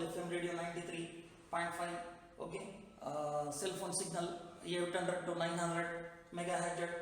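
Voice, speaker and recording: Man teaching physics in an echoey room. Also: sits high. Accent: Indian English. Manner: explanatory, repeats "okay" and "nothing but", frequent "uh" fillers. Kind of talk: exam answer walkthrough lesson. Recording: echoey room, voice from far off, faint noise.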